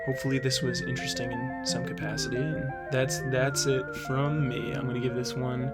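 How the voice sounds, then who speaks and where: A man's voice: mid-range voice, level tone, a little fast, loud music behind the voice. A man hosting a video, giving earnest, casual, conversational commentary. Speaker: host of a play-ranking video.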